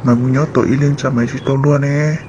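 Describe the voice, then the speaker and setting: A man voicing a male character in an amateur animated cartoon drama. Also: medium pitch, fast talker, muffled recording, noisy background, close to an everyday voice. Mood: conversational. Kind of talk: hobby animated cartoon dialogue.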